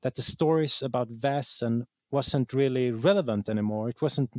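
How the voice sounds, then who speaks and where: A man from Sweden speaks English with a Swedish accent in a mid-pitched voice. He is an interview guest, conversational and halting, repeating words as he looks for the right one. The sound is dry, with no room echo. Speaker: interview guest, game writer.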